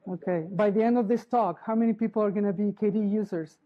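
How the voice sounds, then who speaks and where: A middle-aged woman from Chile, giving a live conference talk in a halting way, restarting often and checking in with the audience. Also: medium pitch, lo-fi sound. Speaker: conference speaker. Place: conference hall.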